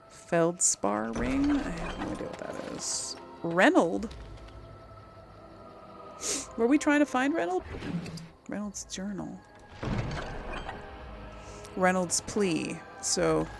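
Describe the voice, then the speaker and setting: A woman's voice: fairly low pitch, creaky. Chatty woman doing casual game commentary. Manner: chatty, thinking aloud. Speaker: video game streamer.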